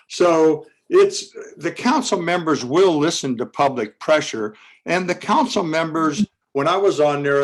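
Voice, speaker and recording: A man who says he has a loud voice, speaking as a former city council member in a public comment at a community forum over a video call. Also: mid-range voice.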